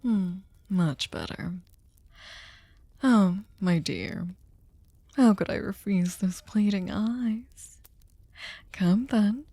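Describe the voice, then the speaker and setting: Woman playing a slow, breathy, possessive vampire mistress. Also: medium pitch, dark tone, no echo. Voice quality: breathy, often whispering. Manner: slow, deliberate, commanding, condescendingly tender. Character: sadistic, possessive, seductive female vampire mistress. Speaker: ASMR voice performer. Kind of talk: ASMR roleplay, slow intimate monologue. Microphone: close studio microphone, dry.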